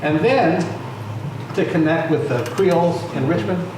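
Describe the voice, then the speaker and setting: A man's voice: fairly high pitch, measured pace. A middle-aged man speaking as a panel guest, conversational and storytelling, with frequent restarts. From United States. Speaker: panel guest, musician and arts center founder. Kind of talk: panel discussion. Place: lecture room on stage. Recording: very echoey room, distant mic, noisy.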